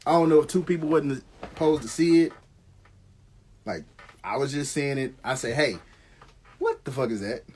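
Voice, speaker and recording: A man's voice: medium pitch, moderate pace, long pauses, darkish tone, husky. A middle-aged American man telling stories casually on a live stream, slangy and conversational, swearing freely.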